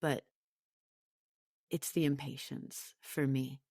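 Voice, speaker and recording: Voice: mid-range voice, steady tone, slow, a little mellow, dry recording, clean studio sound. A podcast guest talking conversationally in an interview, reflective but emphatic.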